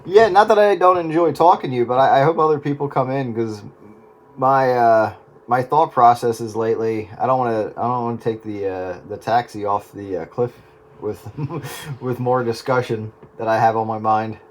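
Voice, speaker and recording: A man's voice: medium pitch, moderate pace. A man in casual radio chat, hesitant and often repeating his words. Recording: noisy radio transmission.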